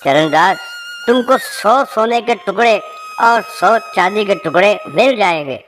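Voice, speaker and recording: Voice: medium pitch, animated, a little slow, a bit bright. A voice actor playing a powerful, imperious village chief in a cartoon moral story, with music behind. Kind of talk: animated moral story character dialogue.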